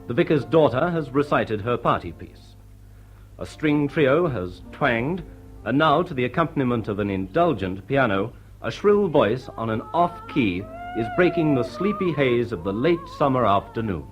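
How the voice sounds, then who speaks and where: A man's voice: medium pitch, very animated, moderate pace, soft background music. A man narrating a radio drama in a leisurely, wry storytelling manner. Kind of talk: scripted radio drama narration, scene-setting.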